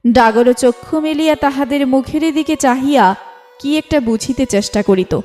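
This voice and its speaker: High-voiced woman narrating a literary story. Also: normal speed, darkish tone. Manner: formal storytelling delivery. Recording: music bed under the voice. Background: instrumental music.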